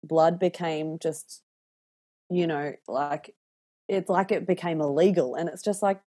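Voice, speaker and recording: An Australian woman in her thirties chatting casually and candidly, telling animated stories and swearing freely. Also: sits low, a little slow. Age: 30s. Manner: animated, candid storyteller who swears freely and acts out voices. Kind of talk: casual podcast chat.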